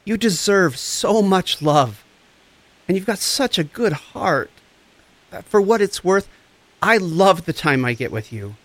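A man's voice: sits high, animated, takes it slowly, fairly bright tone, exaggerated voice. A man acting the part of a compassionate recovery coach in an audio drama, tender, soothing and earnest. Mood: tender, consoling. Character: compassionate, gentle, encouraging recovery coach and friend.

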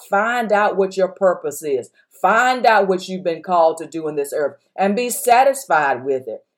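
A woman's voice: lowish voice, a little mellow. A woman pastor with a strong voice, preaching an encouraging sermon on a podcast and exhorting her listeners with repeated phrases.

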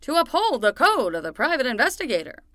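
Patient, wry young nanny, highish voice. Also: female voice, very animated, brisk, no room sound, clean studio sound. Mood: solemn. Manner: casual, gently frank. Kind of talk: naturalistic fiction podcast acting.

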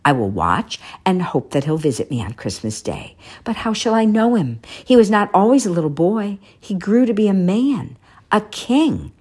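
A woman voicing a kindly old male shoemaker who talks in a gentle, wistful way. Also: medium pitch, very animated, normal speed, slightly rough, voice pushed up.

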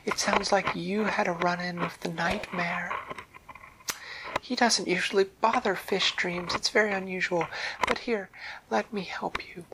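A man voicing a kind, gentle female dream fairy who speaks in a soothing, reassuring way. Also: high-pitched, fairly even intonation, measured pace, noisy background, pitched above the performer's own voice.

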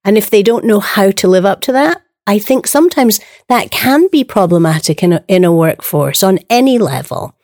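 A woman's voice: medium pitch, animated, moderate pace, hardly any pauses, a little mellow, no echo, studio quality. A woman from Hawaii hosting an interview, asking chatty, conversational questions. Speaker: interview host.

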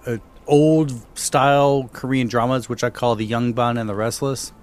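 A man's voice: mid-range voice, steady tone, normal speed, faint music behind. A man chatting casually as a podcast co-host, trading conversational banter.

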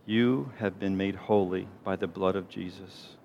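Low-voiced man leading a gentle guided meditation. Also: fairly even intonation. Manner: gentle, unhurried, soothing delivery with pauses. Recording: clear background noise, low rumble.

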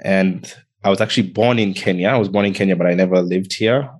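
A man's voice: deep voice, fast talker. A young man from Antigua, a podcast guest telling his life story in a reflective way, often chuckling. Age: young adult. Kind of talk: casual interview storytelling.

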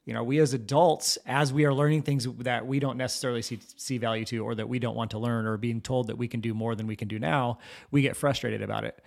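Man, mid-range pitch, podcast host, earnest, rambling, motivational talk. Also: steady tone, quick pace, creaky. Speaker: podcast host, school administrator. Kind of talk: casual interview talk.

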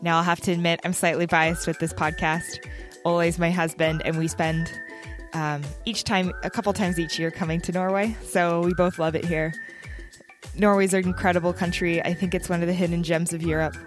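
Woman, young adult, podcast host, chatty, lowish voice, faint background music. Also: level tone, moderate pace. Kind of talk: casual travel podcast chat.

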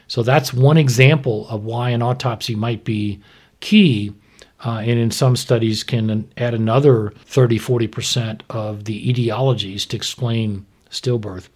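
A middle-aged American man, a doctor, explaining medical matters informally in a frank, measured way. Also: medium pitch, a little mellow. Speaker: maternal fetal medicine doctor, podcast expert.

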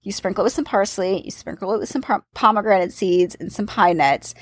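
A woman's voice: mid-range voice, fairly quick, breathy edge, no echo. A woman chatting casually, walking through a recipe step by step. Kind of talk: casual chat about cookbooks.

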